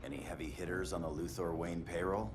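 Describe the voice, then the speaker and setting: A man's voice: low-pitched, fairly even intonation, fairly quick, noisy background, natural voice. An actor playing a strong-willed, defiant male superhero in a video game cutscene. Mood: wry. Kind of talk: video game cinematic acting.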